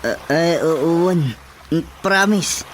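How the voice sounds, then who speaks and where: Bumbling village councilman, Ilocano accent, slow stammering delivery, highish pitch, faint noise. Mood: sheepish. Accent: Ilocano Filipino. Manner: halting, stammering. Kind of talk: Ilocano radio comedy drama acting.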